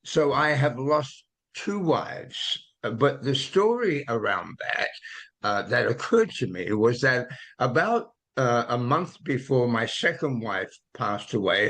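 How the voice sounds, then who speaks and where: Older Australian-accented man, gentle and hesitant. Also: medium pitch. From born in London, raised in Australia. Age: 80s. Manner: gentle, many hesitant "uh" fillers. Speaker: interview guest, author. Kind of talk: reflective conversational storytelling.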